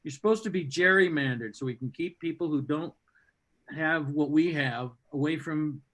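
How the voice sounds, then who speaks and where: A man's voice: on the high side, moderate pace, a bit bright. A man hosting a live comedy show over a video call, riffing in a sardonic, rambling, mock-hostile way before introducing the next act. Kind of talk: stand-up banter and introductions.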